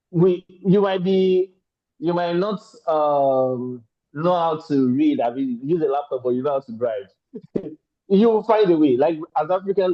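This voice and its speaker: Animated man on a muffled call. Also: mid-range voice. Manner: animated, conversational, laughs between points. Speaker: webinar speaker. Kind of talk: panel discussion. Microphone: video call. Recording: slightly muffled call audio.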